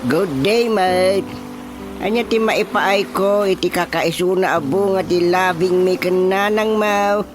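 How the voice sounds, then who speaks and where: Slow, hammy village chairman. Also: high-pitched. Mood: doting, jokey. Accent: Filipino. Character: doting, jokey older male village chairman and father. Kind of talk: Ilocano radio comedy drama acting. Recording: music bed under the voice.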